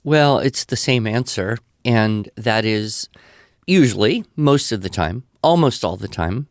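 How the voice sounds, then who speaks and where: A man speaking conversationally as a podcast expert, explaining advice in a measured way with emphatic repetition. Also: fairly low pitch, expressive, no room sound, studio quality. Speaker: podcast expert answering listener questions.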